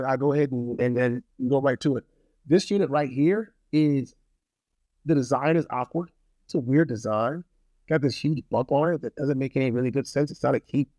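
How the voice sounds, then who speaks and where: A man's voice: a little high, a little mellow, dry recording. A man talking casually to viewers in a rambling, blunt product review, often repeating phrases for emphasis. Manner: opinionated, blunt.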